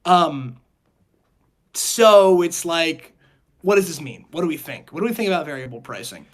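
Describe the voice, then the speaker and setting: A man hosting a casual chat show, talking in a rambling, halting way and often repeating his words. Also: fairly high pitch, lively intonation. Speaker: podcast host.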